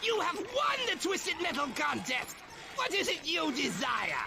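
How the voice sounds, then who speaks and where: Gleeful contest announcer, very high, swooping delivery, grandiose, theatrical game cutscene. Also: huge pitch swings, measured pace, vocal fry, background noise, heavily acted. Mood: excited. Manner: grandiose, exclamatory. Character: shrill, gleeful, showy contest announcer granting wishes.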